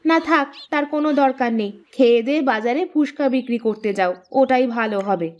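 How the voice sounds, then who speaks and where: Woman acting nagging village wife, slightly high-voiced. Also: a little fast, lo-fi sound, lightly acted. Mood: scolding, scornful. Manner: scolding, sharp-tongued. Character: nagging, exasperated village housewife. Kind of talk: Bengali children's cartoon dubbing.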